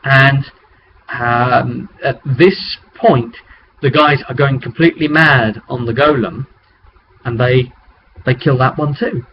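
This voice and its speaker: A man's voice: medium pitch, slow pace. A man telling the story of a tabletop roleplay session as its game master, casual, colloquial and rambling, recorded on a webcam microphone. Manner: rambling, colloquial, acting out sound effects. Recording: dull, noisy webcam microphone.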